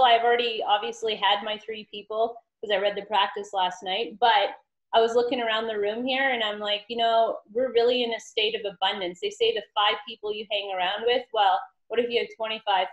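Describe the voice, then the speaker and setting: A woman speaking as a guest reader in an inspirational group, on a muffled, echoey recording. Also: on the high side.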